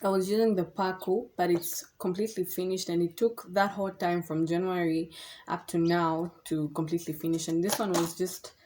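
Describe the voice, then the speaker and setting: Woman, lowish voice, chatty and rambling, talking to camera. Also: fairly even intonation, moderate pace, darkish tone, a little hiss or hum, poor audio. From Zambia. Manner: chatty, rambling, self-correcting. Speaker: beauty vlogger. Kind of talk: casual product review to camera.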